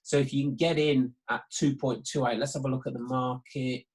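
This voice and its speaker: Male trading tutor, mid-pitched voice, hesitant and repetitive, talking through live markets on an online call. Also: fairly even intonation, a little fast, a little mellow. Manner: hesitant, repetitive, thinking aloud. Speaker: sports betting trading tutor. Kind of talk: live market walkthrough commentary.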